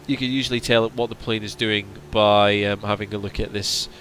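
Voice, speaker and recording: A man's voice: on the low side, a little fast, slight background noise. Tired man chatting on a stream. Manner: relaxed, chatty, answering viewers' questions. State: voice straining, going hoarse. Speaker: flight sim streamer. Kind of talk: casual livestream chat.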